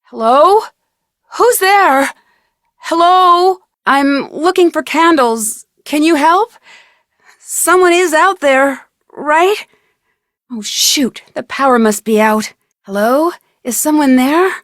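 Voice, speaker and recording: Young female detective, inquisitive, plucky, higher-pitched, expressive, video game dialogue, dry studio sound. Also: slow, no echo, full character voice. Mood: nervous.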